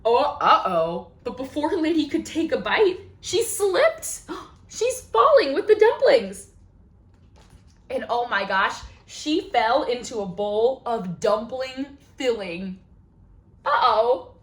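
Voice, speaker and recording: A woman's voice: a little high, very animated, slow. A woman hosting a children's storytime, reading a picture book aloud expressively, with questions and exclamations of wonder for young listeners. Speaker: children's library storytime host.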